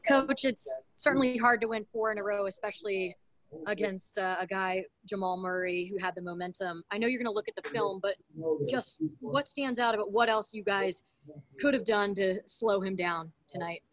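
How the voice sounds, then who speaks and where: Mid-pitched female press conference reporter. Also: normal speed, poor audio.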